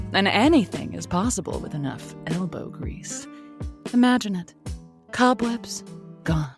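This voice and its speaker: A woman playing a sultry, playful late-night radio host with a teasing, flirtatious, theatrical delivery. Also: medium pitch.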